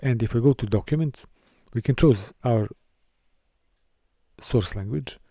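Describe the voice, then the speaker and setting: A man from Serbia, teaching a course and walking through a software console, with careful, halting phrasing. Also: mid-range voice, no room sound, clean studio sound. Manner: careful, halting delivery with small restarts and non-native phrasing. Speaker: course instructor. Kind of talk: screen-recorded software tutorial.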